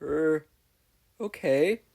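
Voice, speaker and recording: A man's voice: a little high, very slow, gravelly, darkish tone, breathy edge, voice pushed up, full character voice. A man voicing an earnest, hesitant teenage boy who talks politely in a story reading. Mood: uneasy.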